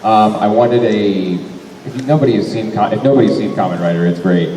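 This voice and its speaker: A man's voice: fairly low pitch, fairly quick, dark tone. A young man and voice actor answering fan questions at a convention panel, talking in a rambling way and correcting himself often. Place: large convention hall. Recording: echoey hall, mic from far off, faint noise.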